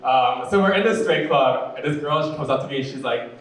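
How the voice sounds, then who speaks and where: A male stand-up comedian with a deep voice and a sweet, fruity cadence, telling jokes in a campy, chatty way full of "like" and "you know". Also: animated, quick pace, far from the mic, very noisy. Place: comedy club stage. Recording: very echoey room, voice off-mic.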